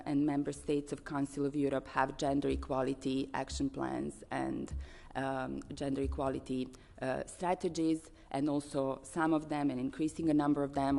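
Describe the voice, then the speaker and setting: A woman from Serbia with a Serbian accent giving a formal conference speech as an advocate, in an earnest, halting way with deliberate emphasis on listed points. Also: very deep voice, creaky, a little mellow. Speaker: advocacy organisation representative speaking at a conference.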